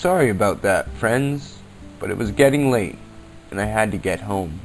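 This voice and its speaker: A man's voice: on the low side, expressive, moderate pace, a little hiss or hum. A man hosting a podcast, reading scripted informational narration on a basic amateur setup. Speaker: podcast host. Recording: amateur podcast, basic equipment, uneven levels.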